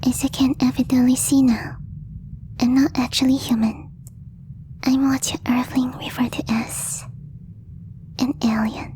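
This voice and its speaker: A woman voicing a gentle, devoted young female alien in a soft, breathy whispered ASMR roleplay, speaking hesitantly in formal, slightly stilted phrases. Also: high-pitched, little pitch movement, measured pace, pauses often, a little hiss or hum. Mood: gentle, earnest explaining.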